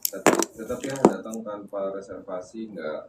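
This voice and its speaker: A man with a fairly low voice makes short, casual replies. The room is clearly echoey, with plenty of noise and some clacking around him. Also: moderate pace.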